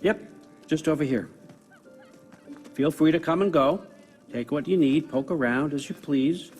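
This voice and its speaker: A man's voice: a little high, a little slow, darkish tone, close to an everyday voice. An actor playing a sly, powerful older god-king in a video game, offhand and commanding. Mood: breezy reassurance. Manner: offhand, wry, talking like a busy boss. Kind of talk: naturalistic video game cutscene acting. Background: background music.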